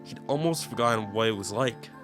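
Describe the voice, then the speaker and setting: A man's voice: fairly low pitch, brisk, a bit bright. A man reading game text aloud as a dramatic narrator in a let's play. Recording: background game music. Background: game music with plucked guitar.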